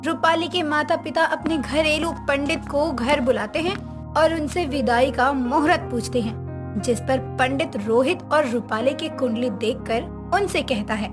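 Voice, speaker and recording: A woman's voice: sits high, measured pace. A woman reading scripted story narration as a narrator. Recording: music under voice.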